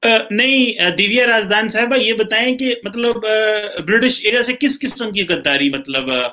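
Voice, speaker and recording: A man with a high, bright voice questions his guest. He speaks haltingly and leans on fillers between his words. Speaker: interviewer. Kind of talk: questioning in a debate.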